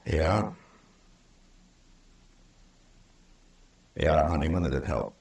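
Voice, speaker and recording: A low-voiced American lawman of the Old West. He is stern, weary and principled, weighing his words in firm, moral tones. The sound is roomy and set back from the mic, like a vintage radio western. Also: expressive, moderate pace, creaky, a little mellow, distant mic, heavily acted. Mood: quiet approval.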